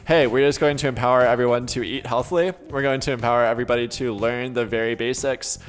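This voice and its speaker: A man's voice: mid-range voice, steady tone, fast talker. Earnest, enthusiastic man explaining, music behind. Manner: earnest, enthusiastic, affirming, frequent "you know". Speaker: podcast guest, life coach. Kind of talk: conversational interview, reflective explaining.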